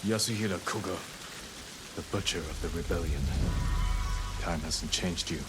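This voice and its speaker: An actor playing a stern, resolute samurai lord in a video game, terse and grave. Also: male voice, low-pitched, normal speed, loud music behind the voice, lightly acted. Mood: grim resolve. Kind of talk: dramatic game cutscene acting. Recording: noisy outdoor rain ambience.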